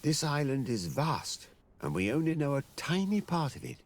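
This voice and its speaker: An actor playing a courteous older professor and mapmaker in a fantasy game, creaky-voiced, polite and wordy. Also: male voice, medium pitch, sing-song, moderate pace. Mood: polite, appealing. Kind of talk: fantasy video game acting.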